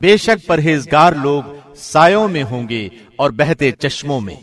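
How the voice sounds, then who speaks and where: A man reading a scripture translation in a formal, measured, solemn radio-host delivery. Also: on the high side, sing-song, a bit bright.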